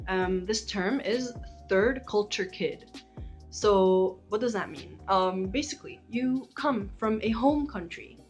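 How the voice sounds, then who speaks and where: A woman's voice: mid-range voice, slow, soft background music. An Egyptian woman in her twenties, talking casually to camera about her life as a channel host, with many likes and ums. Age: 20s.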